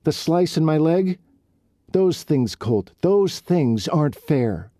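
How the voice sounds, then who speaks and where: A man's voice: fairly high pitch, sing-song, slow pace, warm tone, dry recording, studio quality, pitched above the performer's own voice. A man voicing a fiery, sarcastic young woman rodeo rider who puts on a lilting foreign accent. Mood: heated. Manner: sarcastic, heated.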